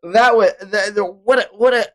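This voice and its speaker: A man's voice: high voice, normal speed. A man hosting a casual livestream chat about manga, talking in hyperbolic fan slang and swearing often. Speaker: stream host.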